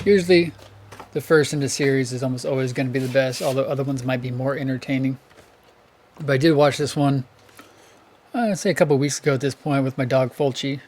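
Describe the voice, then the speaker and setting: A man with a hoarse, worn-down voice chats casually about his tape collection, thinking out loud and often correcting himself. His pitch sits in an ordinary middle range, and a faint room hum stays in the background. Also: a little fast. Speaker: VHS collector channel host.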